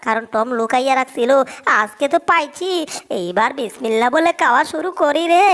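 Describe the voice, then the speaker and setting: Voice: sits high, lively intonation, brisk, pushed and bright. A performer voicing a small, scheming, whiny cartoon mouse in a comedy dub, exclaiming and narrating his plans. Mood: scheming, greedy. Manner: whining, exclamatory, self-narrating.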